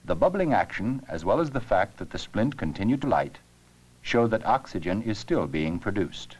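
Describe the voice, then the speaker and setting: Man, very low voice, lively varied intonation, scripted educational narration, measured explanatory delivery. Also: husky, rough recording. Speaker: narrator of an educational science film.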